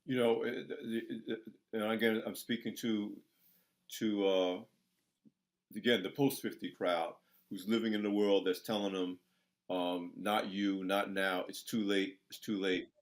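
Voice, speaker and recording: A man's voice: on the low side, steady tone, unhurried. A man in his sixties hosting an interview, talking in an emphatic, preacher-like way as he encourages his listeners. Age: 60s. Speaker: interview host. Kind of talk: conversational interview.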